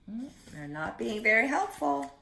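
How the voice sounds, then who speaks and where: A woman reading a storybook aloud to young children, deliberate, pausing to put questions to her listeners. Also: fairly high pitch, big pitch swings, vocal fry, bright, forceful tone.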